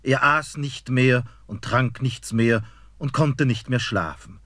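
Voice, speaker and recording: A man narrating a fairy tale in a measured storytelling manner. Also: medium pitch, a bit bright, no room sound, studio quality.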